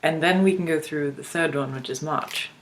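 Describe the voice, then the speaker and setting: A woman playing a tabletop roleplaying game, speaking in a calm, unhurried way. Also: low-pitched, poor audio. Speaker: podcast co-host and player. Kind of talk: casual roleplay chat.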